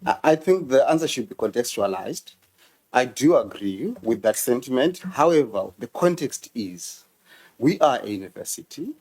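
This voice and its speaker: A man from Zimbabwe who works as a university official, testifying before a committee with hesitant, halting answers. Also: mid-range voice, sing-song, darkish tone, slightly rough. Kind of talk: formal testimony.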